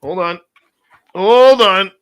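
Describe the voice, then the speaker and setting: A man's voice: sits high, slow pace, fairly bright tone, smooth. A man hosting a radio show, bantering and teasing his guests in a jokey way. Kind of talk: casual on-air banter.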